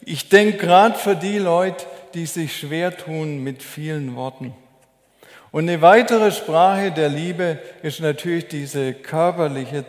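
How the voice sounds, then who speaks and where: A man preaching a sermon as a preacher, in a measured, deliberate, explanatory way. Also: on the high side, darkish tone. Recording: echoey hall with long reverb.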